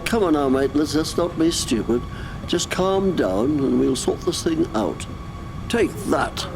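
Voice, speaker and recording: An actor playing a firm, matey police officer taking control in an audio drama. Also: male voice, medium pitch, expressive, a little slow, gravelly, music in the background, low-quality recording. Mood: placating.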